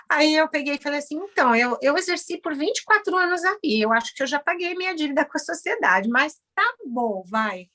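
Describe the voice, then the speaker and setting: A Brazilian woman in her forties, an orthodontist turned house cleaner, chatting in an interview and acting out conversations with animated voices. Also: high voice, normal speed. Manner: animated, acts out dialogue with others in shifted voices. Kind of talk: casual interview storytelling.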